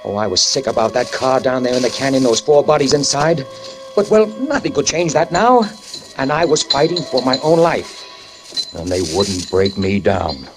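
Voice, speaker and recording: A man's voice: medium pitch, lightly acted. An actor playing a guilt-ridden male hit-and-run driver who narrates tensely in the first person, in a 1940s radio drama. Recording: old radio broadcast with music.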